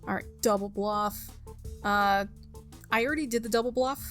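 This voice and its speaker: A woman gives a casual game playthrough, chatty and playful as she talks to herself. Her voice sits at a middle pitch, close to the microphone, with light music behind it. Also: normal speed, fairly bright tone. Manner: chatty, self-talking, playful. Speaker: gaming video host. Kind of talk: casual playthrough commentary, reading game text aloud. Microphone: close microphone without pop filter.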